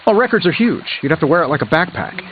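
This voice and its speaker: An actor playing a cocky billionaire inventor superhero in a video game, glib and sarcastic with playful quips. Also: male voice, a little high, very animated, fast talker, highs cut off. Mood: breezy. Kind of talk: video game character dialogue, quippy banter. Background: music.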